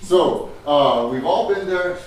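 An older man leading a teacher workshop, chatty and quick to laugh, his voice a little strained from a cough. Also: fairly high pitch, lively intonation. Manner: chatty, laughs often, restarts. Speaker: teacher trainer. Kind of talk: workshop talk with audience. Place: large echoey room with audience. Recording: very echoey room, voice from far off.

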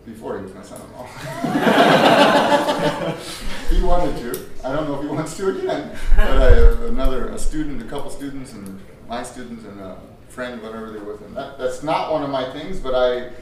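A man's voice: medium pitch, animated, moderate pace, background noise. Older man leading a teacher workshop, echoey hall. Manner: chatty, laughs often, restarts. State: slightly strained voice from cough. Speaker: teacher trainer. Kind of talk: workshop talk with audience. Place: large echoey room with audience. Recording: very echoey room, voice from far off.

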